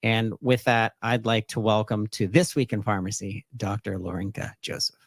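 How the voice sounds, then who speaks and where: A man's voice: lowish voice, fairly even intonation, normal speed, gravelly, dry recording, clean studio sound. A man hosting an interview podcast, talking in an emphatic, enthusiastic promotional way. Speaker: podcast host.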